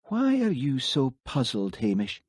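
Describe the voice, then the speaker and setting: A man voicing a young Scottish woman who speaks calmly and teases lightly. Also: mid-range voice, a little slow, slightly rough, no room sound, clean studio sound, voice pushed up, exaggerated voice. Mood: calm teasing. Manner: calm, lightly teasing. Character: young dreamy Scottish woman who trusts her feelings.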